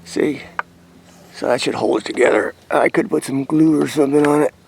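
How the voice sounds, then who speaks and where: An American man with a slightly high, creaky voice talks informally, as if working things out aloud while he shows his gear. His delivery is casual and rambling, and there is clear background noise around him. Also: normal speed. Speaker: hobbyist vlogger demonstrating CB radio gear. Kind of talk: casual rambling demonstration to camera.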